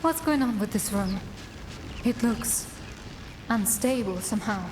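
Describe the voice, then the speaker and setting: A woman playing a guarded, defiant dark elf assassin in a fantasy drama, with a terse, cool delivery. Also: a little high, expressive, a little slow, background noise. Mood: wary. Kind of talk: cinematic fantasy game-style acting.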